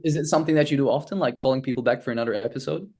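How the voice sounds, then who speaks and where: A man's voice: mid-range voice, rapid-fire, gravelly, darkish tone. A man from Turkey, a native Turkish speaker, talking casually as an interview guest.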